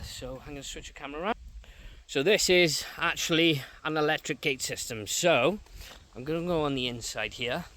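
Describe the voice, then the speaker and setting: Higher-pitched man explaining technical work. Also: sharp tone. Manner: explains step by step with halting pauses. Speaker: gate installer and repair technician presenting. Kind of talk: informal explainer vlog from a job. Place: outdoors beside an electric gate.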